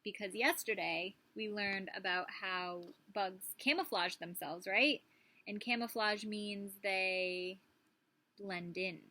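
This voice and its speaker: A woman's voice: medium pitch, slow pace, bright, forceful tone. A woman, a preschool teacher, reading a picture book aloud to young children and prompting them with questions.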